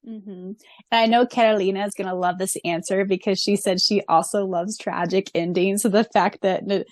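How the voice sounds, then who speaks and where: A woman with a mid-pitched voice co-hosts a fan podcast. She is excitable and expressive, moving between casual chat and reading aloud. The sound is very dry, with no room echo. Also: moderate pace. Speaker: podcast co-host.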